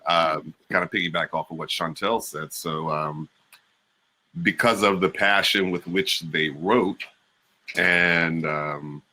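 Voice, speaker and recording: Bright-voiced, low-pitched man, casual. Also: steady tone, takes it slowly, slight background noise. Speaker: actor, guest performer. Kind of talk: informal panel reflection.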